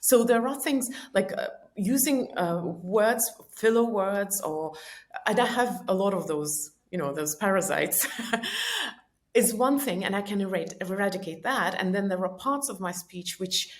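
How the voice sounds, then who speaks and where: A woman's voice: mid-range voice, expressive, unhurried. A woman whose first languages are Estonian and Russian, speaking English as a podcast guest in an earnest, reflective, coaching tone. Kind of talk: reflective conversational explanation.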